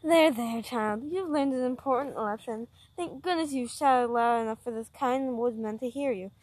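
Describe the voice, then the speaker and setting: Woman doing an old grandmother, kind, fussing, soft voice, storybook. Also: on the high side, moderate pace, dry recording, natural voice. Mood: soothing.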